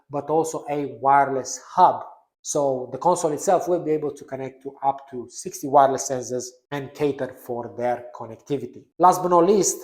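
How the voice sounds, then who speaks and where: A man giving an explanatory, semi-scripted product update as a presenter. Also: fairly high pitch. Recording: roomy echo.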